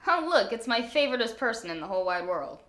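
A woman's voice: on the high side, sing-song, fast talker, a bit bright, soft background music. A woman voicing a ditzy, cheeky teenage girl in an anime parody, blithe and suggestive. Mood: teasing. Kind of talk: abridged anime parody voice acting.

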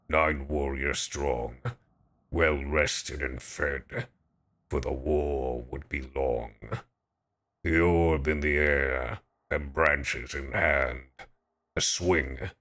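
A man's voice: a bass voice, bright, forceful tone. A man doing casual, profane, jokey livestream commentary while he plays a game, sometimes reading aloud theatrically. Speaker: streamer, gamer.

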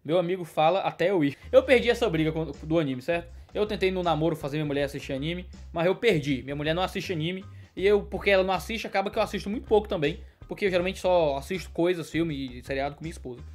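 A man in his twenties, a pastor, answering viewer questions in a quick, explanatory way from a study lined with bookshelves. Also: a little high, soft background music. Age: 20s. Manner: fast, explanatory, gestures with hands.

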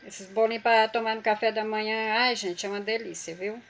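A woman's voice: mid-range voice, fairly even intonation, fairly quick, a bit bright. A woman with a Brazilian Portuguese accent hosting a home cooking tutorial in her kitchen, talking chattily to her viewers as she works. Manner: chatty, addresses viewers as gente. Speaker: cooking channel host.

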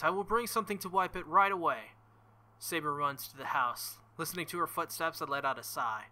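A man's voice: on the high side, pushed and bright. A young man reading a visual novel aloud as a let's play host, with joking, crude asides between passages.

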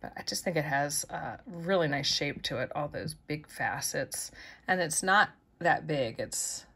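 A middle-aged woman chatting easily through her thrift and flea market finds, with soft asides. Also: low-pitched, animated, measured pace, husky. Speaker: vlogger showing thrift finds. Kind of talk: casual show-and-tell narration. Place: indoors at a table, close-up of hands.

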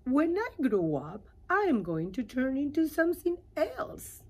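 Woman doing a breathy, high little caterpillar. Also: huge pitch swings, measured pace, darkish tone, full character voice. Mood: eager. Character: eager young female caterpillar, bursting with a secret.

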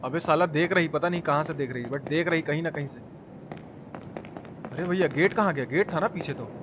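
High-pitched excitable man streaming. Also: brisk, dull audio, noisy background. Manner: excitable, slangy, repeats phrases. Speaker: live game streamer. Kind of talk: casual gameplay commentary with chat replies.

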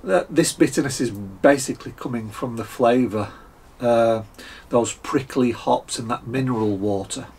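Croaky, husky man in his fifties, Scottish accent. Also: mid-range voice, moderate pace, a little mellow. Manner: chatty, frequent "uh" fillers and throat clearing. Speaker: beer reviewer. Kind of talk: casual tasting review. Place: home workshop, indoors, small room.

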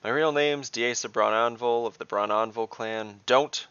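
A man's voice: mid-range voice, normal speed, a bit bright, clean tone, dry recording, muffled recording, pitched above the performer's own voice, natural voice. A man playing a young warrior princess in a fantasy audio story, casual, emphatic and defiant. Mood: breezy, defiant.

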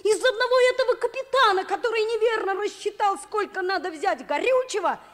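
An impulsive little schoolboy in a Soviet radio play. The voice is extremely high and childlike, full of emotional pleading. It rings with long reverb, as in an old studio recording. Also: female voice, brisk, a bit bright. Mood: indignant. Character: schoolboy Dimka, impulsive fourth-grade would-be sailor.

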